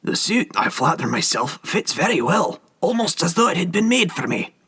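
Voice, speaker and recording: A man voicing a frail, vain old gentleman with a thin, reedy voice, fussing and repeating himself. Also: high voice, moderate pace, slightly rough, voice pushed up, full character voice. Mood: pleased. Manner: anxious, fussy, self-flattering repetition.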